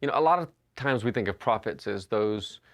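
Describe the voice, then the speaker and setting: A man in his fifties preaches in an easy, conversational way, full of small pauses and restarts. His voice sits low and carries a gravelly fry. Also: lively intonation. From Western North Carolina. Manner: conversational storytelling with frequent uh and restarts. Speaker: pastor. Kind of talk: sermon. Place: church sanctuary.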